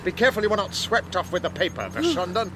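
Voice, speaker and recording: Sneering, high-pitched villain. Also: male voice, lively intonation, quick pace. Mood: commanding. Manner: commanding, sardonic. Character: ruthless, commanding villain, sneering mastermind. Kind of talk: radio drama acting. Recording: noisy, outdoor car ambience.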